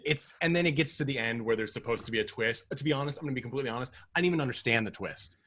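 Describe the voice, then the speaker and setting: A man's voice: medium pitch, very fast, a bit bright. A man co-hosting a film podcast, talking casually with wry sarcasm. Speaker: podcast co-host. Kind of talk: casual film review chat.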